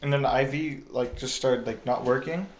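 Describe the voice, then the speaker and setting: A young man in casual chat with a monotone voice, telling a story in a flat, low-key way. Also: moderate pace. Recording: echoey, faint noise, through a phone.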